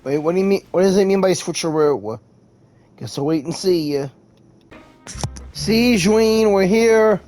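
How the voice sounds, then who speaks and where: Slow-talking woman commenting on a game. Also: on the low side, lively intonation, warm tone, faint music behind. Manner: halting, thinking aloud. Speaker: game streamer. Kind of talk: casual gameplay commentary.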